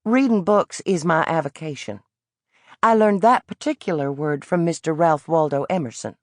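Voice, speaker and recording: A woman's voice: low-pitched, moderate pace, no room sound, natural voice. A woman voicing a scrappy, defiant young boy with a thick American Southern drawl, proud and prickly, in a dry studio read. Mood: prideful. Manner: proud and prickly, formal words.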